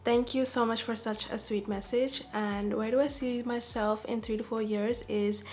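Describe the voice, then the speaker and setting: A young woman from Dubai, calm and soft-spoken, answering viewer questions in a casual beauty vlog. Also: fairly high pitch, normal speed. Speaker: beauty vlogger.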